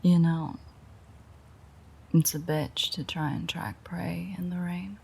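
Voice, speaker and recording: A woman playing a sardonic, teasing, world-weary female vampire in an intimate audio roleplay, with a breathy, husky, whispery voice and a dry manner. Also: fairly low pitch, fairly even intonation, slow pace, a little mellow, heavily acted. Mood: wry, reminiscing.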